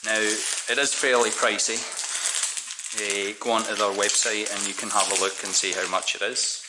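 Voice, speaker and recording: A man's voice: fairly low pitch, flat delivery, moderate pace. A Scottish man chatting casually as he unboxes parts on his kitchen counter, thinking aloud and correcting himself. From Scotland. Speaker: DIY hobbyist doing an unboxing. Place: home kitchen, indoors. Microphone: camera mic, speaker off-screen filming his hands. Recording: echoey kitchen with background noise.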